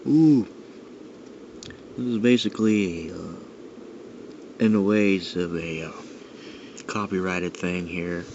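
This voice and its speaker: Low-voiced man rambling over noisy lo-fi audio. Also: slow, long pauses, a little mellow. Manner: rambling, musing to himself as he handles things. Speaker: record collector presenting his albums. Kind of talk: casual unscripted show-and-tell. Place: indoors in a dimly lit room. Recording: dull lo-fi sound with clear background noise.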